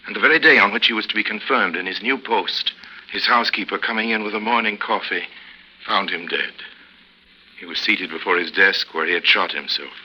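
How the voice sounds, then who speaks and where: Radio drama columnist: deep, incisive, suave, gravely deliberate, old broadcast sound. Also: male voice, pushed and bright, heavily acted, rough recording. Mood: grave, reproachful. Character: suave newspaper columnist grimly recounting a politician's ruin. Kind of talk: 1950s radio drama monologue. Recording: old 1950s radio recording.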